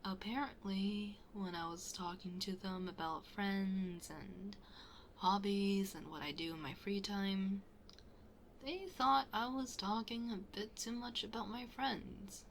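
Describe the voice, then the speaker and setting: A woman's voice: medium pitch, fairly even intonation, slow pace, creaky, slight background noise. A woman playing a shy, flustered best friend hiding a crush in an intimate ASMR roleplay, hesitant and often dropping to a near-whisper. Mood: embarrassed, confessing.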